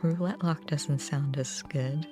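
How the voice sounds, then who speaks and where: A woman's voice: sits low, steady tone, slow, music in the background. A woman livestreamer chatting casually with viewers in a rambling, self-deprecating way. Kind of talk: casual gaming stream chat.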